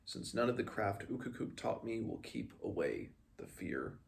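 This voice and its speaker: A man's voice: fairly low pitch, sing-song, normal speed, gravelly, exaggerated voice. A man reading the part of an earnest small mountain-folk apprentice sage in formal, oddly inverted phrasing. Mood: grave, thoughtful.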